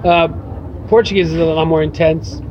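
A man's voice: a little high, steady tone, normal speed. A man answering interview questions reflectively, filling his thoughts with "you know" and "uh". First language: English. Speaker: guest writer. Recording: muffled with faint background noise.

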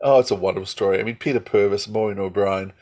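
A man's voice: fairly low pitch, steady tone, quick pace, darkish tone. A man co-hosting a podcast in casual chat, hesitating and repeating phrases as he thinks aloud. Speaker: podcast co-host.

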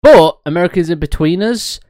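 A man's voice: sits high, brisk, dark tone, dry recording, clean studio sound. A man co-hosting a casual podcast, blunt and sweary, laughing often. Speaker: podcast co-host.

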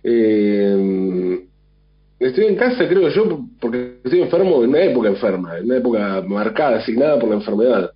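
A radio host, sick at home with a mild flu and cough, musing in a thoughtful, rambling way with many restarts. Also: male voice, mid-range voice, very animated, normal speed. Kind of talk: reflective monologue. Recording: dull lo-fi sound.

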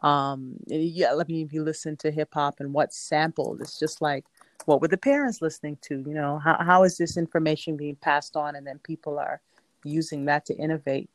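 A woman's voice: low-pitched, steady tone, normal speed, dry recording. A woman poet from Queens, New York, speaking as a guest in a casual interview. She talks reflectively, rambles a little and laughs often. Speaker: poet and performing artist.

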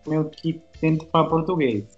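A man's voice: a little high, moderate pace, roomy sound, muffled recording, faint music behind. A man from Peru with a Peruvian Spanish accent, a podcast guest speaking carefully as a non-native. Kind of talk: casual podcast chat.

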